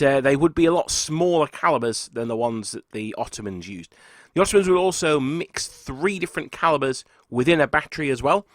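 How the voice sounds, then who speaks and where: A man, husky and congested from a cold, chatting casually as host of a wargaming channel while talking through slides. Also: on the high side, fairly bright tone, no echo, clean studio sound. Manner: chatty, rambling, self-correcting. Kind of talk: casual talk over slides.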